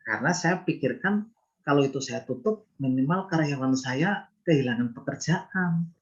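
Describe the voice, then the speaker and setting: A man's voice: a little high, lively intonation, normal speed, highs cut off. A man in his fifties, a business owner, explaining his views earnestly in an interview, often asking rhetorical questions. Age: 50s. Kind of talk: reflective interview talk.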